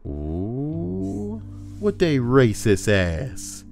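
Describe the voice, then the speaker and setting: A man's voice: mid-range voice, huge pitch swings, drawn-out words, gravelly, darkish tone, faint music behind. A man casually reading forum posts aloud on a livestream, breaking in with crude, joking asides.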